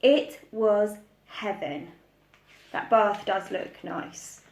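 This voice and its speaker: A woman tells a picture-book story to preschoolers. Her mid-range voice moves slowly and expressively, with simple direct address. The room around her is noticeably echoey. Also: mid-range voice. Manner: addresses small children simply. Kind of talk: slow, expressive children's story reading.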